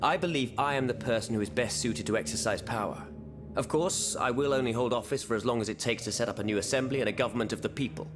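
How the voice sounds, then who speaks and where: Actor as confident, ambitious revolutionary politician. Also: male voice, medium pitch, fast talker, natural voice. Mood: self-assured. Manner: formal, confident, declarative. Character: self-assured ambitious male revolutionary politician. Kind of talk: dramatic video game cutscene acting. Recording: music behind the voice.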